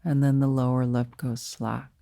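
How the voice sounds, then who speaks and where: A woman leading a guided meditation as a teacher, speaking softly and soothingly in short, unhurried phrases with pauses between them. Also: very deep voice, level tone, gravelly, no room sound, clean studio sound.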